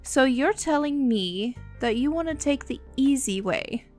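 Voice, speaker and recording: A woman playing a female vampire, imperious, condescending, protective, unhurried and deliberate, slightly high voice, ASMR audio drama. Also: animated, darkish tone, faint music behind. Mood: scornful. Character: bossy, world-weary, protective female vampire. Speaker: voice actor in an audio roleplay. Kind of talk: ASMR roleplay monologue.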